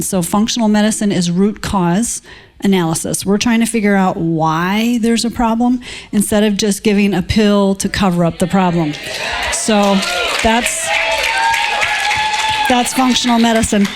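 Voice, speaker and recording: A woman in her fifties from South Dakota with an American accent, a physician introducing herself informally to an audience, her voice a little hoarse. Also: medium pitch, moderate pace, a little mellow. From South Dakota, United States. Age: 50s. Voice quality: slightly hoarse. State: slightly hoarse from singing. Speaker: physician, panelist.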